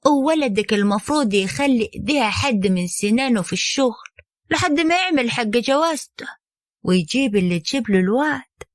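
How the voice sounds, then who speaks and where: A woman voicing a sly young sister-in-law who needles slowly in a sing-song. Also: mid-range voice, no echo, studio quality, close to an everyday voice.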